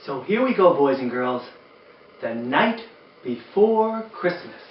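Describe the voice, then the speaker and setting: A man reading a children's story aloud in an animated, exaggerated way, chatting directly to young listeners. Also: mid-range voice, slow pace, slight background noise. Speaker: storyteller, former teacher. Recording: roomy, distant, dull sound.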